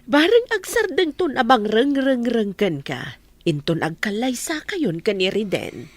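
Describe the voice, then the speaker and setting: An actress playing an excited, doting mother of a bride-to-be in an Ilocano radio drama. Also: on the high side, a little slow, dry recording.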